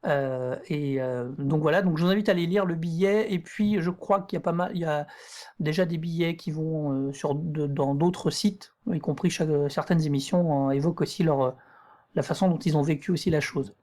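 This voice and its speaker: A man's voice: fairly high pitch, normal speed, a little mellow. A man co-hosting a podcast, presenting news in a casual, conversational way. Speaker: podcast co-host.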